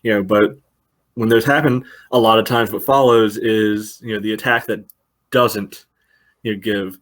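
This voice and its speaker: A man co-hosting a podcast, analyzing soccer casually and haltingly, with frequent verbal padding. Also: lowish voice, fairly even intonation, fairly bright tone. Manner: halting, peppered with "you know". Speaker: podcast co-host.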